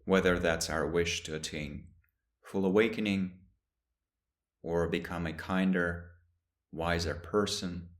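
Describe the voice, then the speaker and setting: Very low-voiced man guiding a meditation. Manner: slow, calm, with long pauses between phrases. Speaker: meditation teacher. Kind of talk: guided meditation.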